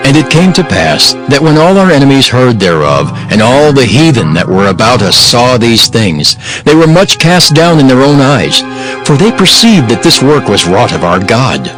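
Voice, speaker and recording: Man as a solemn ancient governor, mid-pitched. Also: close to an everyday voice. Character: steadfast male governor of ancient Judah telling his story. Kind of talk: dramatized scripture narration. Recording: music behind the voice.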